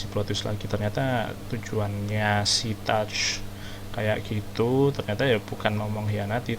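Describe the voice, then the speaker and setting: A man's voice: fairly low pitch, background noise. A man with an Indonesian accent giving casual, slangy reaction commentary as a gaming streamer. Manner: slangy, informal. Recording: game music behind the voice.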